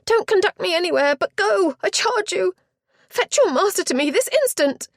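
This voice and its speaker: Shrill, panicked chaperone. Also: female voice, animated, moderate pace, no echo, studio quality. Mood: frantic. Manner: high, quivering, near-shouting alarm. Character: frantic middle-aged female chaperone, shrill.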